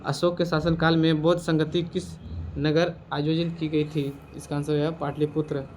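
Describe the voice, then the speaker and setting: A man's voice: fairly high pitch, level tone, normal speed, a little mellow, a little hiss or hum. A man teaching as a quiz host, reading out questions and answers in an instructional way and repeating the answers. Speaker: quiz teacher for exam preparation.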